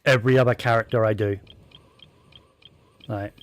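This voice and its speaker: A man's voice: lowish voice, steady tone, normal speed. A man giving casual, rambling commentary while playing a video game, with plenty of fillers and restarts. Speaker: gaming streamer.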